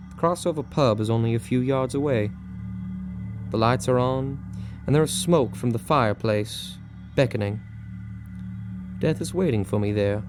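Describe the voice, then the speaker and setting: A man's voice: medium pitch, close to an everyday voice. A man playing a world-worn, weary taxman of the afterlife, narrating his own story with wry resignation. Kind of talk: first-person dramatic story narration.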